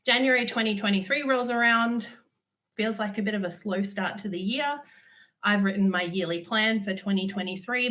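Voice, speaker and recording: A woman's voice: mid-range voice, a bit bright. A woman, giving a conference talk while stuffy from a cold, candid with dry humour and frequent ums. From Australia. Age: 30s. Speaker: conference speaker.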